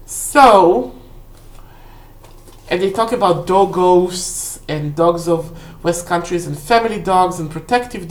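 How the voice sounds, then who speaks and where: Low female voice, native Hebrew speaker, casual vlog host, halting delivery, roomy echo. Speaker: book haul vlog host. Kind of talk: casual rambling book review. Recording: roomy, echoey indoor sound.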